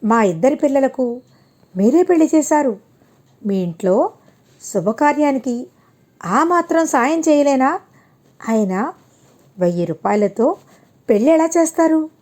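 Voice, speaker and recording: A humble, open-handed older family man from a moral storybook , voiced by a woman. He speaks kindly and reassuringly, in a high, softly mellow voice. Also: animated, a little slow, long pauses. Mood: generous, reassuring. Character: generous, humble older family patriarch, newly reformed.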